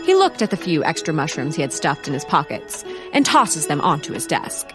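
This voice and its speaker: A woman's voice: sits low, very animated, a little fast, music in the background. A woman playing a wry storyteller, narrating a comic audio drama in mock-documentary style.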